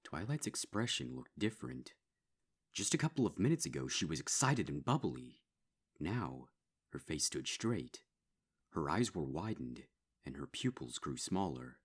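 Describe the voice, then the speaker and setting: A man reading a dark horror story as a dramatic scripted narration. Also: rumbling low, very animated, measured pace, breathy edge. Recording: music behind.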